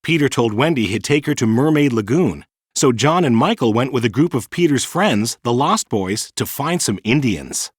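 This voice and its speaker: A man narrates a children's story with lively, wide-swinging intonation. His mid-pitched voice is recorded dry, and he paces the tale clearly and expressively for young listeners. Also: moderate pace, no echo. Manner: expressive, clearly paced read-aloud storytelling.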